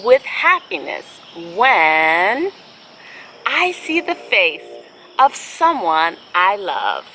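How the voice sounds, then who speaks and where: A woman hosting a children's storybook read-aloud, speaking in an expressive, deliberate way with drawn-out phrasing. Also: medium pitch, slight background noise, low-quality recording. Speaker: host reading picture books aloud for children.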